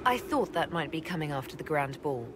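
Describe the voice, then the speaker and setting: A calm, level-headed female commander from a fantasy game. She speaks plainly and with reserve in a slightly low voice. There is noticeable ambient noise behind the dialogue. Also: brisk. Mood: measured, attentive. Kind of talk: video game dialogue acting.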